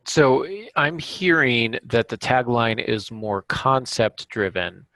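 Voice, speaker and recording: A man's voice: mid-range voice, lively intonation, slow, pushed and bright, no room sound. A man hosting a podcast, summarizing and explaining in a conversational interview style. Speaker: podcast host.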